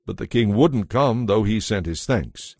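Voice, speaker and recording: A man's voice: on the low side, lively intonation, measured pace, a little mellow, no echo, clean studio sound. A man giving a solemn, scripted dramatic narration of scripture as storyteller.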